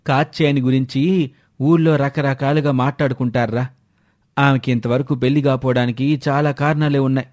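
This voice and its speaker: A man's voice: medium pitch, fairly even intonation, fairly quick, darkish tone, lightly acted. A man playing a cautious village friend in a moral story, earnest and worried as he speaks. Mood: confiding. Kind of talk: dramatised moral story dialogue.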